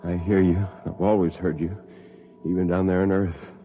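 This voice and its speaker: An actor playing a bitter, haunted rebel astronaut with brooding, defiant intensity in 1950s radio drama style. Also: male voice, low-pitched, little pitch movement, normal speed. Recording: dull, hissy 1950s radio recording.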